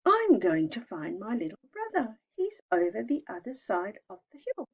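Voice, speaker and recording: A woman voicing an anxious middle-sized male billy goat in a storybook reading, high and fretful, on a dull lo-fi recording. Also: huge pitch swings, normal speed, breathy edge, full character voice.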